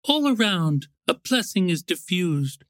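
A man voicing a wistful, gentle female nature spirit in a storybook, with an earnest, yearning, poetic manner. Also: high-pitched, sing-song, a little slow, dry recording, clean studio sound, voice pushed up, heavily acted.

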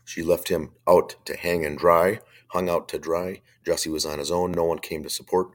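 A man hosting a faith-based talk radio show, hoarse from a chest cold, talking in a blunt, opinionated, conversational way. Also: low voice, flat delivery, normal speed.

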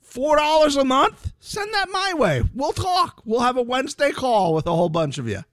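A man's voice: very high-pitched, sing-song, normal speed, a bit bright, no echo, studio quality. A man co-hosting a casual podcast, joking and swearing freely. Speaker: podcast co-host. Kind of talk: casual chat.

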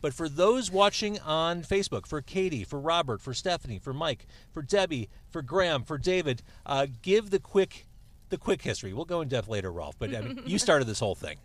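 A man with a Chicago accent hosts a joking, casual chat from inside a small car. His voice sits a little high and moves a lot, and he sounds chatty and animated. Snug in-car sound. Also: fairly quick. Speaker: host.

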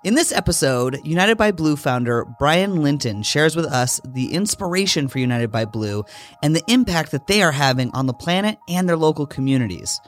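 A man's voice: on the high side, lively intonation, normal speed, faint music behind. A man hosting a podcast, reading a scripted intro with enthusiasm and then asking a guest questions. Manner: upbeat and enthusiastic. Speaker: podcast host.

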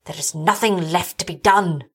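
Woman voicing an anguished, despairing older gentleman. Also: high-pitched, moderate pace, breathy edge, exaggerated voice. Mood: faint, hopeless. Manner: formal, melodramatic, weary speech. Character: anguished, despairing older gentleman, village husband haunted by horror.

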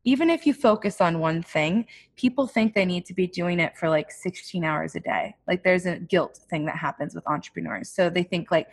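Chatty lower-voiced woman entrepreneur. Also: moderate pace, few pauses. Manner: chatty, many "like" fillers, self-reflective. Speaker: entrepreneur guest. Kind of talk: casual interview talk.

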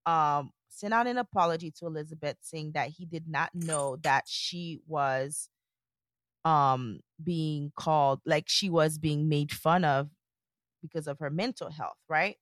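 A woman's voice: low voice, a little slow, dry recording, clean studio sound. A woman co-hosting a casual podcast, chatty and rambling, restarting her sentences often. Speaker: podcast co-host. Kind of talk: casual chat.

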